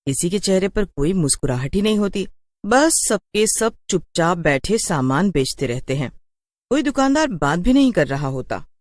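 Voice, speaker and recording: A woman's voice: fairly low pitch, very animated, moderate pace, darkish tone, no echo, clean studio sound. A woman storyteller giving scripted narration of a horror tale.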